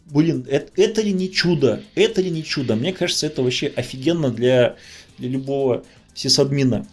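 A man's voice: medium pitch, normal speed, soft background music. A man explaining technical matters in an enthusiastic podcast talk. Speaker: podcast speaker on networking.

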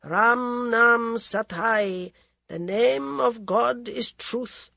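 A woman doing a stern, slow holy woman. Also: fairly high pitch, gravelly, a bit bright, breathy edge, no room sound, voice pushed up, heavily acted. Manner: slow, grave, intoning. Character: stern solemn female ascetic holy woman.

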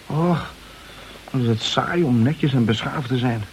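An actor playing a restless, wry young newspaper reporter in an old radio drama, with an excitable, theatrical delivery. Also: male voice, medium pitch, a little slow, close to an everyday voice. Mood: bored, frustrated. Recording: noisy old radio play recording.

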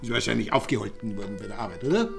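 A man's voice: fairly low pitch, rapid-fire, creaky, over music. A man playing a garrulous, affable count in a radio drama, rambling and repeating himself. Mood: genial. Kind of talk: German radio drama acting.

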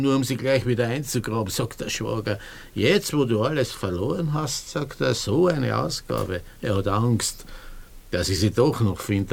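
A broken-down Viennese scrounger in a radio drama, his voice gravelly. He rambles in wry, plaintive laments and repeats himself, over faint background noise. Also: fairly low pitch. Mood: sardonic grievance. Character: bereaved, ruined former businessman turned scrounger, bitterly wry. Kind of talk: German-language radio play acting.

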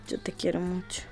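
A woman with a Costa Rican accent playing a tender, flirtatious woman in love in a radio soap opera. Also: mid-range voice. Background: clear music.

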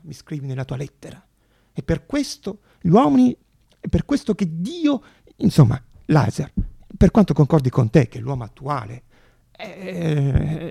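A learned, fond older uncle played by a man in a stage monologue, lecturing his nephew. He declaims with pompous emphasis and stumbles over his phrases. The voice is gravelly and husky, in a dry recording. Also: a little high, dark tone, no echo, exaggerated voice. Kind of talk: theatrical monologue radio drama.

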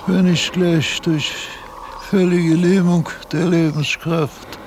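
An actor playing a frail aged philosopher, laboriously dictating abstract thoughts in broken phrases with long pauses, in a radio drama. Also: male voice, fairly high pitch, flat delivery, darkish tone, a little hiss or hum, low-quality recording. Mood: laboured, insistent. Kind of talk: slow, halting radio-drama monologue.

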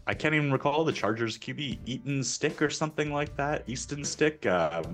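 A man's voice: medium pitch, lively intonation, normal speed, fairly bright tone. A man from Canada giving conversational sports betting analysis as a guest oddsmaker. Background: faint music.

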